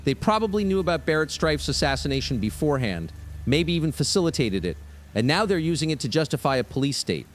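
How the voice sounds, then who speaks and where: An insistent conspiracy theorist on talk radio. His voice is fairly high and swings widely, full of suspicion, over faint background noise. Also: big pitch swings, fast. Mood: suspicious, insistent. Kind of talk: radio call-in drama acting.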